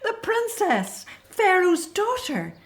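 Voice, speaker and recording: A woman's voice: extremely high pitch, huge pitch swings, slow, full character voice. An actress playing a loving Hebrew slave mother in a radio drama, telling things earnestly and with feeling. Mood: relieved, excited. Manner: earnest, emotional storytelling.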